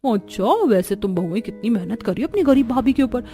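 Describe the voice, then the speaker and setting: A woman voicing characters in a cartoon family story with animated, emphatic delivery. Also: a little high, a little fast, faint music behind. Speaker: voice actor for several cartoon characters. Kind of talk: cartoon dialogue acting.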